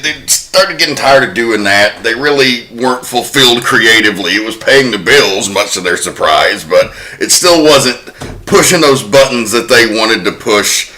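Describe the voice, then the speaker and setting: A man's voice: mid-range voice, expressive, normal speed, bright, forceful tone, low-quality recording. A man hosting a podcast, casually walking through researched facts about an old film. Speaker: podcast host. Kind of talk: casual film-history chat.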